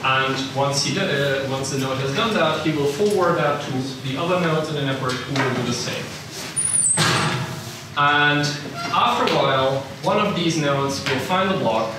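A young man from the Italian-speaking part of Switzerland, with a Swiss accent, giving a relaxed, self-deprecating seminar talk full of ums and uhs, recorded in a lecture room. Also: mid-range voice. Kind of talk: technical lecture to a live audience. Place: lecture room with a projector screen. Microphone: far room camera, no visible mic. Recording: echoey room, distant mic, background noise.

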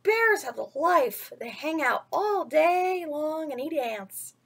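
An eager young jungle boy in a children's storybook, acted out by a woman. The voice is high and pushed up further, playful and cheeky, with an excitable, insistent way of talking. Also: takes it slowly, vocal fry, heavily acted.

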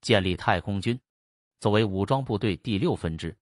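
A man's voice: lowish voice, lively intonation, normal speed, dry recording, clean studio sound, natural voice. A man dubbing a serious, authoritative speaker in a documentary. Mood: official, firm. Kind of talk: dubbed documentary speech.

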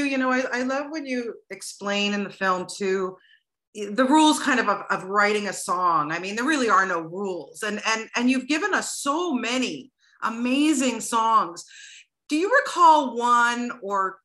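A woman's voice: fairly high pitch, pushed and bright, poor audio. A middle-aged woman interviewing guests over a video call from her living room, effusive and chatty, with many restarts.

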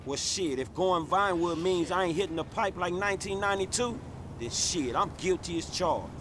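A man's voice: sits high, moderate pace, over music, close to an everyday voice. An actor playing a blunt, exasperated, streetwise young man in a video game cutscene, talking in profane slang.

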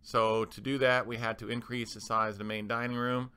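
Male manager for presentation voice-over: bright, forceful, smooth tone, explanatory and somewhat halting. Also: medium pitch, little pitch movement. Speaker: club manager presenting renovation plans.

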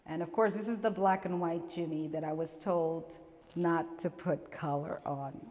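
A middle-aged woman from Guyana giving a relaxed, chatty talk about her portraits, laughing often and restarting her sentences. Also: low-pitched, normal speed. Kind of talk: gallery artist talk. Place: small gallery room with seated audience, indoors. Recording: long reverb from the room.

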